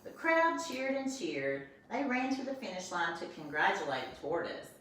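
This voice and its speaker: Woman, first-grade teacher, gentle storybook narration; far from mic, faint background noise. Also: on the low side, moderate pace. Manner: expressive, gentle, talks to young children. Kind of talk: storybook read-aloud and lesson. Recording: roomy echo from a distance, faint noise.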